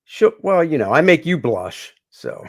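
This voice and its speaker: A man's voice: a little high, big pitch swings, measured pace, vocal fry. A man co-hosting a casual livestream, rambling and joking with plenty of fillers. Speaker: comic artist co-host.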